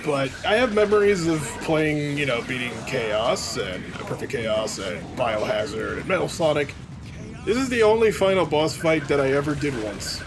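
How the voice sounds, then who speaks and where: A man's voice: on the high side, animated, normal speed, a crowd in the background. A man giving casual, joking game commentary in a sarcastic, mocking way. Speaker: let's play commentator. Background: loud game music.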